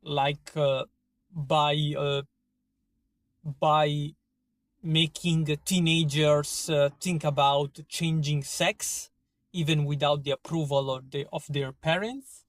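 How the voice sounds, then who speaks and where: A man with an Italian accent talking to his viewers in a casual monologue, halting often with fillers and restarts. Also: fairly high pitch, steady tone, no echo. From Italy. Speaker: vlogger. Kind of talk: casual opinion monologue to camera.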